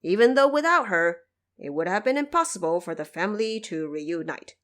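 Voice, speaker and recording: A woman delivering scripted podcast commentary as host, in an expressive, pointed and rhetorical manner. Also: on the low side, normal speed. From Cantonese-speaking region.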